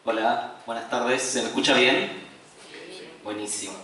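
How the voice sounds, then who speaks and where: A man from Patagonia with an Argentine accent gives a conference presentation in a halting manner, searching for his words. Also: medium pitch, pushed and bright. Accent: Argentine Spanish. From Patagonia, southern Argentina. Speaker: playwright and director giving a conference talk. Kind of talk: conference presentation, semi-spontaneous. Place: echoey room at a conference. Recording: very echoey hall, distant mic, faint noise.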